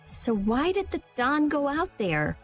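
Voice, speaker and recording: A woman's voice: sits high, big pitch swings, a little slow. An actress playing a cool-headed, caring young woman adventurer in a video game, with a frank delivery. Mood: skeptical. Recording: music under the voice.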